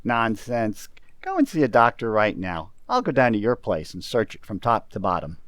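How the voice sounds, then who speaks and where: A man playing a brisk, practical, insistent business associate in an old-time radio drama. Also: fairly low pitch, very animated, fairly bright tone, no room sound, clean studio sound. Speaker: amateur community theater actor.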